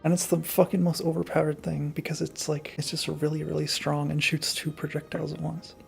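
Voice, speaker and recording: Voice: mid-range voice, level tone, fairly quick, vocal fry. A streamer giving casual, dry, joking game commentary with some swearing. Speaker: gaming streamer. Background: game music.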